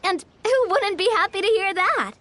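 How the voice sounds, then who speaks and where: Showy confident young game girl, high voice, swoops around a lot, explains stuff very properly. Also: expressive, normal speed, a bit bright. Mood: proud, eager. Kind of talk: video game character voice acting.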